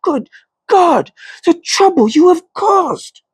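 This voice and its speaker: A man voicing an exasperated twelve-year-old boy in a storybook, scolding in hissing, high-pitched exclamations. His voice jumps up sharply with anger. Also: expressive, slow pace, no room sound, clean studio sound, heavily acted. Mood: angry.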